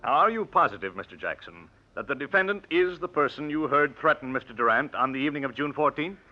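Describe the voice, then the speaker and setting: An actor playing an aggressive, scornful prosecuting attorney with theatrical, emphatic oratory in 1940s radio drama style. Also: male voice, fairly high pitch, lively intonation, fast talker, soft background music, natural voice. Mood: forceful, accusing. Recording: muffled old radio broadcast recording.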